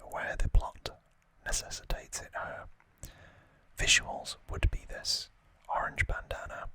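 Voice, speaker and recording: A man whispers in an unscripted ASMR style, slow and hesitant. His breathy voice stays even and hushed, with a crisp, sibilant brightness. He pauses to think as he explains what he is showing. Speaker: ASMR video host.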